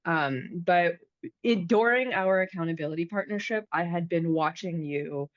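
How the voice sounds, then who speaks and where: A woman in her thirties from the United States, hosting a podcast in a relaxed, chatty way, with lots of fillers and restarts. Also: fairly low pitch, moderate pace, no echo, muffled recording. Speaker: podcast host. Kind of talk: casual conversation.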